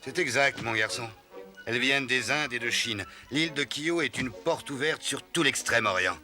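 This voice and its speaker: A man's voice: medium pitch, moderate pace, close to an everyday voice. A man voicing a hearty, experienced merchant sea captain in a French cartoon, speaking expressively. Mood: proud, boastful. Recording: music behind the voice.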